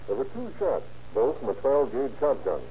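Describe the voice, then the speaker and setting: Actor as an earnest deputy, very animated pitch. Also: male voice, moderate pace, natural voice. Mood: earnest, reporting. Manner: formal, explanatory. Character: dutiful, earnest male sheriff's deputy. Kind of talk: 1930s-40s radio crime drama acting. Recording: muffled, noisy old-time radio recording.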